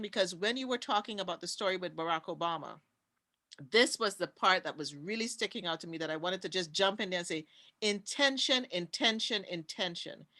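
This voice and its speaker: A woman's voice: medium pitch, sharp tone. A woman with a Trinidadian accent speaking passionately on a conference panel, repeating her key words for emphasis over a video call. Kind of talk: impassioned talk on a panel.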